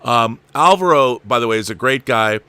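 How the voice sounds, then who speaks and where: A man's voice: mid-range voice, lively intonation, normal speed, sharp tone, clean tone, dry recording. A man hosting a casual live show, chatting enthusiastically with rambling asides and reading viewer comments aloud.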